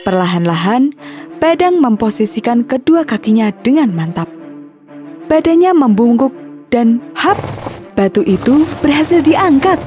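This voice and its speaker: A woman's voice: on the high side, sing-song, unhurried, mellow, soft background music. A woman narrating a scripted children's story as storyteller.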